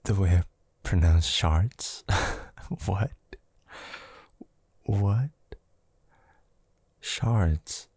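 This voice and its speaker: A man playing an affectionate, teasing young boyfriend in an intimate ASMR roleplay, murmuring softly and hesitantly with a breathy, gravelly voice. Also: deep voice, very slow, long pauses, dry recording, exaggerated voice. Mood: flustered, playful. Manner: soft, hesitant, drawling, teasing.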